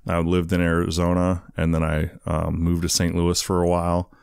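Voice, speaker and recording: A man with a very deep voice tells his story in a loose, rambling way, padding his sentences with fillers and asides. The sound is dry and close, with no room around it. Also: monotone, moderate pace, creaky, a little mellow, clear, resonant tone, dry recording. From England. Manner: rambling, many fillers, "you know what I mean". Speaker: guest. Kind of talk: casual storytelling interview.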